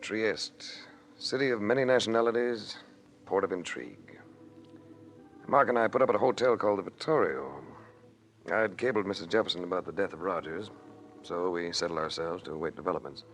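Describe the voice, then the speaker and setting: An American actor playing a suave, hard-boiled adventurer who narrates in a wry, clipped old radio detective style. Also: male voice, deep voice, brisk, fairly bright tone. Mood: wry narration. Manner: wry, smooth, clipped noir delivery. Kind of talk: 1940s-50s radio detective drama acting. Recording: hissy old-time radio recording.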